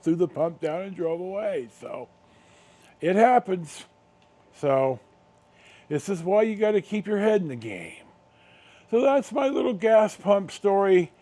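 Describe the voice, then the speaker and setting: A middle-aged American man hosting a casual storytime, rambling along in halting, unhurried, drawn-out phrases. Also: high voice, dark tone. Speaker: video host.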